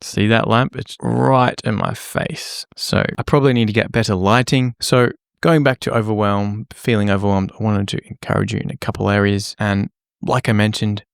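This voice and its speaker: Rambling, self-deprecating man with a gravelly voice. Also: lowish voice, no echo, clean studio sound. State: slightly croaky from illness. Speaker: music producer and vlogger. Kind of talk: rambling casual talk to camera. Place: small home room lit by a lamp. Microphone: camera-facing setup at a desk.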